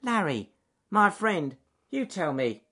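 A man voicing a bad-tempered, bossy but soft-hearted beaver with a snappy, commanding manner in a children's story. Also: high voice, big pitch swings, slow pace, breathy edge, pitched above the performer's own voice, full character voice. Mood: concerned.

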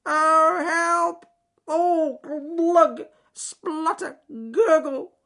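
Grumpy frantic boy squirrel for a kids story, really high voice, rude and shrill. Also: fairly even intonation, very slow, gravelly, sharp tone, full character voice. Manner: shrill, blunt, cross exclamations. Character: rude, frantic, bad-tempered male red squirrel. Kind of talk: children's storybook character voice.

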